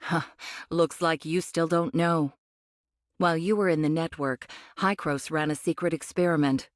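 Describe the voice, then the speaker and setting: An actress playing a condescending cyborg angel of a rebel faction in an anime game, speaking in a measured, cryptic way. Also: female voice, low voice, fairly even intonation, no echo, studio quality, close to an everyday voice. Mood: cool, provoking. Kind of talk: anime game voice acting, expository dialogue.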